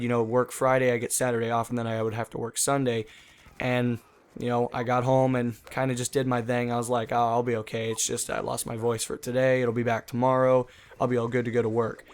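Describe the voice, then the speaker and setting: A young man who hosts a gaming channel and talks casually over gameplay. He rambles in a chatty way, full of fillers. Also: medium pitch.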